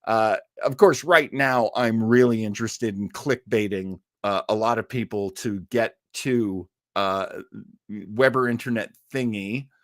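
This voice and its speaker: A man's voice: lowish voice, unhurried, no room sound, studio quality. Rambling, chatty male livestream host. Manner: rambling, chatty, stop-start delivery. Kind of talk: casual livestream chat with viewers.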